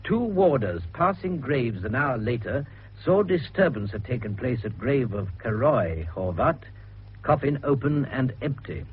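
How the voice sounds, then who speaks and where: A middle-aged British police investigator in a radio mystery, gruff, clipped and sceptical. His pitch moves a lot around a middling register, and the sound is tinny and narrow with some hiss and noise. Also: big pitch swings, a little slow. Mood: reluctant, dry reading. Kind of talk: radio detective drama acting. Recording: tinny, noisy radio recording.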